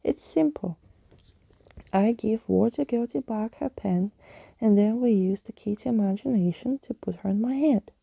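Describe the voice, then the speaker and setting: A woman's voice: mid-range voice, moderate pace, vocal fry, warm tone, husky, dry recording, pitched above the performer's own voice. A woman voicing a kind, plucky young girl with an earnest, reassuring manner in a storybook.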